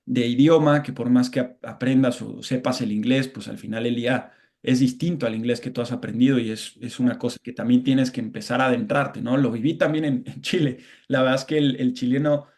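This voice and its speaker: A man's voice: medium pitch, fairly even intonation, a little fast, few pauses, darkish tone. A Mexican man, an interview guest, talking reflectively in a casual conversation, often restarting and adding tag questions.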